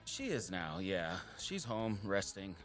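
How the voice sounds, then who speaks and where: An actor playing an earnest, caring male wildlife surveyor in an audio drama, casual, sincere and expressive. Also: low-pitched, takes it slowly, faint music behind, heavily acted. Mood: relieved, tired. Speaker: habitat surveyor.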